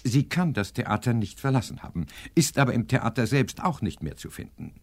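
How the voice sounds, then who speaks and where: An actor playing an imperious, pedantic professor detective in a German crime radio play, questioning curtly and with great self-assurance. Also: male voice, medium pitch, very animated, moderate pace. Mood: pedantic, assured.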